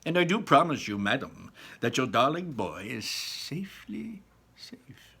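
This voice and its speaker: A man's voice: medium pitch, sing-song, measured pace, bright, forceful tone, husky, pitched above the performer's own voice, full character voice. A man voicing a breezy, eccentric chocolate factory owner from a storybook, exclaiming and reassuring. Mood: amused, reassuring.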